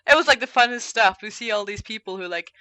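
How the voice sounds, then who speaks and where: A woman's voice: fairly high pitch, fast, bright, forceful tone, no room sound. A woman co-hosting a podcast in casual chat about games, informal and peppering sentences with "like". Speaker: podcast co-host.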